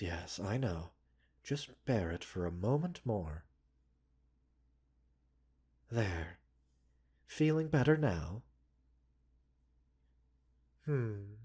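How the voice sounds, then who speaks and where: A man performs as a gentle, self-assured doctor tending a patient in an ASMR roleplay. The voice sits low and breathy, with lively rises and dips. He is polite and soothing, lightly scolding and teasing as he goes. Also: full character voice. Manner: soothing, unhurried, politely formal with teasing asides. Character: gentle, composed male pharmacist doctor, mildly chiding and self-assured. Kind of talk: ASMR roleplay audio drama.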